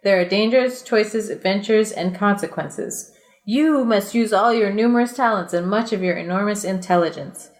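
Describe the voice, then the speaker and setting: A woman's voice: medium pitch, moderate pace. A woman hosting a comedy podcast, mixing casual chat and reading aloud with giggly, self-deprecating asides.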